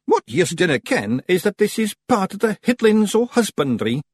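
A high, swooping Scottish voice for a pint-sized clan chief. He is bossy and boastful, hectoring his troops in emphatic storybook speeches. The sound is close and dry. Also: sing-song, moderate pace, husky, dry recording, exaggerated voice. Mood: insistent.

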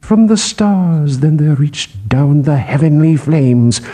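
A man's voice: fairly high pitch, takes it slowly, mellow. A man voicing a fervent apostle who declaims doomsday scripture in archaic, sing-song tones for a storybook. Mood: solemn, ominous.

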